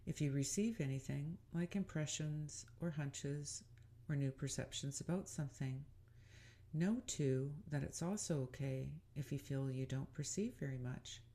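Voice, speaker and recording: A woman leading a gentle guided meditation in a calm, soothing, unhurried and inviting way. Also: low-pitched.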